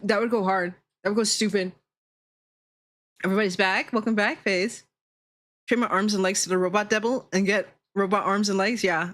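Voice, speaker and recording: A woman's voice: mid-range voice, fairly bright tone. A woman streamer chatting casually and giving blunt opinions, swearing freely and repeating herself for emphasis. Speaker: livestreamer, VTuber host. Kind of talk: casual livestream chat.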